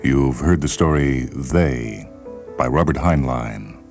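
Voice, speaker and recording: Man, American accent, very deep bass, deliberate literary narrator, faint music. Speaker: narrator and reader of short stories. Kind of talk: scripted literary story narration.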